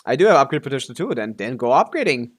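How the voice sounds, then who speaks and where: A man's voice: medium pitch, big pitch swings, fast. A man giving casual live game stream commentary, thinking aloud as he talks through his options.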